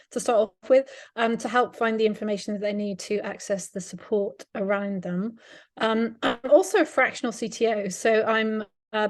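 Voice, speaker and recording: A woman's voice: medium pitch, no echo, studio quality. A woman startup founder speaking on an online panel, friendly with light laughs and fillers, over a video call. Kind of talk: panel discussion.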